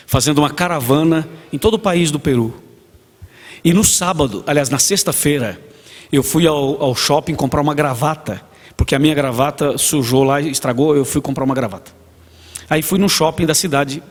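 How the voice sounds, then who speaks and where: A man's voice: on the high side, moderate pace. A man with a Brazilian Portuguese accent, a pastor preaching a sermon, telling stories, acting out dialogue and asking the congregation questions. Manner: storytelling, acts out dialogue, asks the congregation. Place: church hall. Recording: long church reverb.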